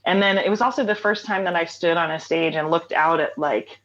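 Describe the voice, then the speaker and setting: Woman, forties, low voice, chatty storyteller, muffled lo-fi audio. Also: a little fast, a bit bright. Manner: chatty, laughs often, storytelling. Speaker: guest, singer-songwriter. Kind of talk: casual interview chat.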